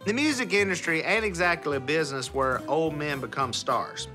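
A man's voice: fairly high pitch, normal speed, music in the background. A man, teasing dryly in interview asides. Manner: dry, teasing. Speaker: reality show cast member. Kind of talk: casual chat and interview asides.

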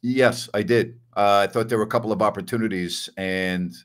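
An older man with a somewhat low voice hosts a postgame basketball chat from his home office over a video call. He breaks down the game's key moments with emphatic disbelief. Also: fairly even intonation, moderate pace. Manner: emphatic, incredulous about the game. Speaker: host. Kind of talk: casual postgame sports chat.

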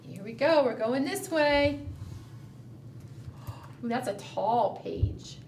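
High-pitched woman reading slowly to preschoolers. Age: middle-aged. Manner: animated, sing-song, coaxing with questions. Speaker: children's librarian leading preschool storytime. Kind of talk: storytime read-aloud and chat addressed to small children. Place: small indoor room, seated at a table. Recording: roomy, distant mic, faint room noise.

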